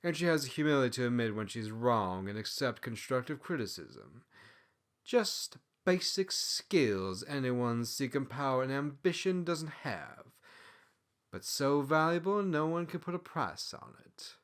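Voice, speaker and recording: A man's voice: mid-range voice, very animated, unhurried, a bit bright. A man voicing an outspoken, opinionated female tanuki servant in a storybook, arguing with emphasis. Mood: emphatic, scornful.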